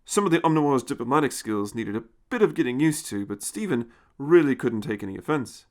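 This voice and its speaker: A man's voice: mid-range voice, sing-song, a little fast, darkish tone. A man reading a scripted science fiction story as its narrator.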